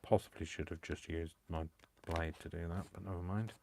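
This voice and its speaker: Deep-voiced man crafting live. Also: steady tone, normal speed, a little mellow, a little hiss or hum. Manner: self-mocking, talks to himself. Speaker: crafting video host. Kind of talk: live crafting stream, chatty self-talk.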